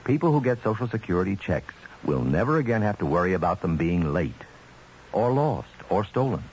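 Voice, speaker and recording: A man's voice: low-pitched, big pitch swings, fairly quick, darkish tone. A man reading a scripted, folksy radio commercial as an announcer. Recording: muffled old radio, faint hiss.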